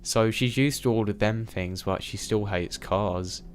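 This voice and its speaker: Man, fairly low voice, steady storytelling, first-person horror narration, faint hiss. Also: measured pace. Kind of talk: reading true scary stories aloud.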